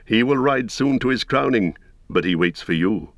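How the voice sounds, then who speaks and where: A man voicing a wise, kindly old male wizard who speaks in a measured, stately way. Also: mid-range voice, expressive, dry recording, close to an everyday voice.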